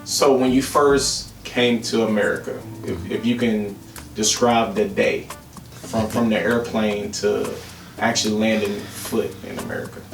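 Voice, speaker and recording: A man's voice: fairly low pitch, steady tone, a little slow, vocal fry, recorded from a distance, soft background music. A man hosting a casual interview and asking simple personal questions, recorded roomy and away from the mic. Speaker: interviewer, host.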